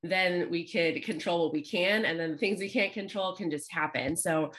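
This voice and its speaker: Low-pitched woman from Los Angeles, chatty and upbeat guest coach. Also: moderate pace, fairly bright tone, low-quality recording. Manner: chatty, upbeat, frequent fillers. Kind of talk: podcast interview.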